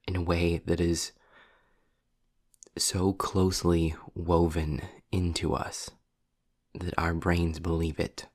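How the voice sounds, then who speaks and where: Slow, low-voiced sardonic demon played by a man. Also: full character voice. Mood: grave, earnest. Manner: sarcastic, deliberate, sighing. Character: sardonic, world-weary male demon trapped in hell. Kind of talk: intimate roleplay audio drama.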